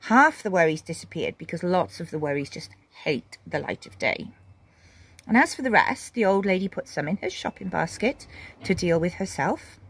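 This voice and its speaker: A woman reading a children's story expressively, with dramatic pauses and emphasis. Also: on the low side, big pitch swings, husky.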